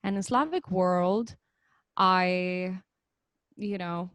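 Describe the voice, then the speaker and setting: A woman's voice: fairly low pitch, very slow, a bit bright, no echo. A woman, a musician answering interview questions thoughtfully with frequent hesitations over a video call. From Lithuania. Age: 30s. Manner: thoughtful, hesitant, many fillers. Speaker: musician guest. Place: home, online interview.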